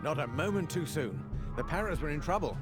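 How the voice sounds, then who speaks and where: A man's voice: on the high side, animated, a little fast, over music, natural voice. A man playing a seasoned British military officer in a World War II game, clipped and authoritative. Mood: relieved. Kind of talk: video game voice acting, WWII briefing.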